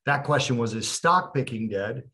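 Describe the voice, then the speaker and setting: Male co-host and trader. Average pitch, conversational, opinionated, lays out his reasoning step by step. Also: expressive, normal speed, pushed and bright. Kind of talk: conversational trading analysis.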